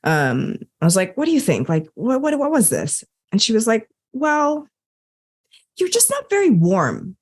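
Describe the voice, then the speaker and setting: Lively-voiced woman telling stories. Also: fairly high pitch, measured pace, husky. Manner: animated, acts out her own quoted thoughts. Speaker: podcast guest, Enneagram coach. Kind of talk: casual conversational storytelling.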